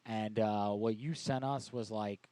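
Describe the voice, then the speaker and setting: A man's voice: fairly low pitch, steady tone, measured pace, warm tone, no echo. A man casually bantering as host of a live prank call show, with crude, slangy joking.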